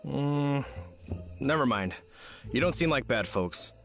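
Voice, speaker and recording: A man's voice: mid-range voice, expressive, slow, creaky, fairly bright tone, faint music behind, heavily acted. A man voicing a dutiful, courteous knight in a video game, speaking formally and earnestly. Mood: suspicious. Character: dutiful, courteous adult male knight captain. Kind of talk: game voice acting.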